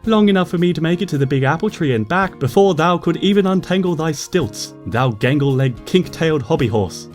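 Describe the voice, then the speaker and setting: A cheeky little filly pony princess who taunts playfully in old-fashioned phrasing, as a man puts her on. Her voice is pitched well above the reader's own and pushed a little louder. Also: expressive, brisk, hardly any pauses, soft background music, lightly acted. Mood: teasing.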